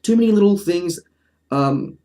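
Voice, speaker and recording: A man's voice: sits high, expressive, moderate pace, dark tone. A man hosting a casual video essay, with a dry, jokey manner and sentences he often breaks off and restarts. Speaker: video host.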